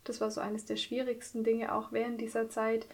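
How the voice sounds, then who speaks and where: Gently questioning woman interviewer. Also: on the high side, monotone, fairly quick.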